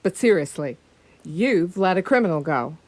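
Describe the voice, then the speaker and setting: A woman's voice: fairly low pitch, animated, normal speed, a little mellow, no room sound. A woman playing an earnest, cautious, loyal sidekick to a detective in radio theatre.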